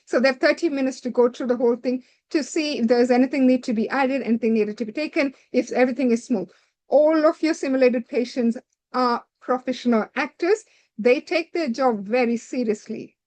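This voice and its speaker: A woman's voice: high-pitched, fairly quick, a little mellow. A woman giving a direct, instructive lecture to doctors preparing for a medical licensing exam, stringing her points together with "so".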